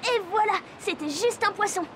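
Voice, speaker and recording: A woman's voice: very high voice, moderate pace, loud music behind the voice. A woman voicing a curious, enthusiastic little girl who is the heroine of a children's cartoon. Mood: cheerful, curious. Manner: bright-eyed, inquisitive, enthusiastic. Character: curious, cheerful little girl who travels to animal friends. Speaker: main character and narrator.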